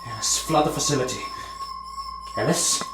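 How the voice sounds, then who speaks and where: A man's voice: fairly high pitch, huge pitch swings, a little slow. A man playing a terse, exasperated security officer at a secret facility in a sci-fi horror audio drama, clipped and urgent. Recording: echoey room, loud music behind.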